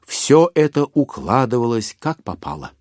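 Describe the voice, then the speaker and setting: A man narrating a literary audio drama in a measured, expressive storytelling manner with deliberate pauses. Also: medium pitch, no room sound, clean studio sound. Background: music with violin.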